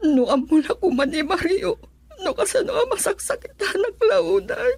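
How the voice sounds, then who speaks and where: A woman's voice: very high voice, very animated, moderate pace, creaky, faint music behind, exaggerated voice. A Filipino woman playing a troubled, despairing wife in a radio drama, with plaintive, melodramatic delivery. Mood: troubled, reluctant. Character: troubled debt-ridden wife Rosela, anguished and despairing.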